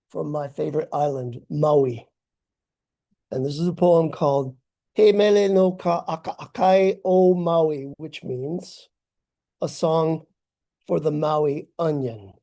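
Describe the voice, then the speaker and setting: A man reading his own poem aloud on a video call, in a slow, deliberate and declamatory way. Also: on the high side, mellow. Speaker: poet reading his work. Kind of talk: dramatic poetry reading.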